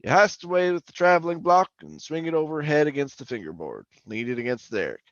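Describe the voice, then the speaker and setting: A man's voice: fairly high pitch, animated, quick pace, no room sound, highs cut off. An actor playing a folksy, talkative oil-rig worker who tells his eerie tale in colloquial radio horror drama style. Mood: folksy narrating. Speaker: actor and narrator. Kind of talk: old-time radio horror drama acting and first-person narration.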